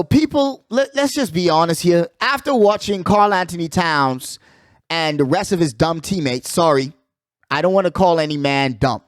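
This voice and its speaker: A man hosting a casual sports talk show, giving animated, slangy commentary and repeating phrases for emphasis. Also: high voice, dry recording, studio quality. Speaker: sports podcast host.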